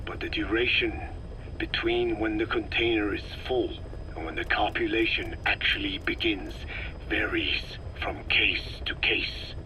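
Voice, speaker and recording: An actor playing a grave, cryptic old scientist in a video game, with a breathy, raspy voice and formal, deliberate phrasing. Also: male voice, lowish voice, fairly even intonation, pushed and bright. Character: frail, ancient scientist, grave and cryptic elder. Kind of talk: dramatic video game voice acting, expository. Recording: clearly noisy taped field recording.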